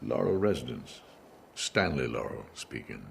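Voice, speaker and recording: An actor playing an elderly, gentle comedian in a drama, speaking haltingly with stammers and repeated words. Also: male voice, extremely low pitch, big pitch swings, creaky, slight background noise, heavily acted. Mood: subdued, warm. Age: older. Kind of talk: radio-play style screen drama acting.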